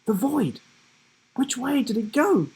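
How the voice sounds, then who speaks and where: A man's voice: very high-pitched, lively intonation, slow pace, darkish tone, breathy edge, pitched above the performer's own voice, full character voice. A man voicing an eager, forgetful giraffe who fancies himself a spy in a children's storybook. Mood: urgent, excited. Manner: earnest, rambling, forgetful.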